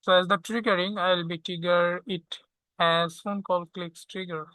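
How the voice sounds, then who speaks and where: A tutorial instructor walking through software step by step, often checking in with a short questioning tag. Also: mid-range voice, fairly even intonation, a little slow, smooth, dry recording. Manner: checks understanding with frequent "okay?".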